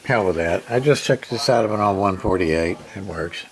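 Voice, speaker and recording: A man's voice: low-pitched, normal speed. A man giving a casual, hands-on repair narration, rambling and muttering to himself in a folksy, plain-spoken way. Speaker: CB radio repair hobbyist. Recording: noisy, with clicks and radio sound.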